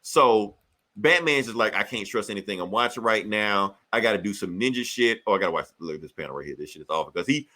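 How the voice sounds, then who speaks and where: Male podcast host, bright forceful voice, loose slangy comic-review chat with plenty of swearing. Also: lowish voice, fast talker. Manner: profane, slangy, animated retelling.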